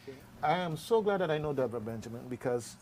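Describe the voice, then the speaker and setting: A man's voice: mid-range voice, lively intonation, fast, darkish tone, slight background noise, low-quality recording. A man hosting a radio show with casual, unscripted talk. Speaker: radio host. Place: radio studio.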